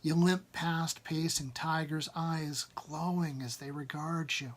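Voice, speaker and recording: Slow-paced husky man reading a story. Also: fairly high pitch, fairly even intonation. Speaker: author reading own short story. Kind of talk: dramatic second-person story narration after casual introduction.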